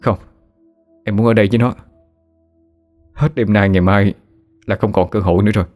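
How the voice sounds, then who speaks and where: A man's voice: lowish voice, fairly even intonation, a little fast, darkish tone, faint music behind, lightly acted. A man voicing a frightened young man who is shaken after a dream of his dead friend, talking in an urgent, pleading way. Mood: quiet resolve.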